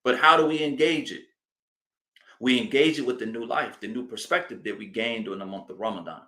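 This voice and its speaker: A man giving a sermon as an imam, speaking deliberately and emphatically and repeating phrases to drive points home. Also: mid-range voice, sharp tone. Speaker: imam giving a religious address. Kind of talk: sermon, public teaching address. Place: indoor hall of a mosque. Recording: roomy, echoing live address.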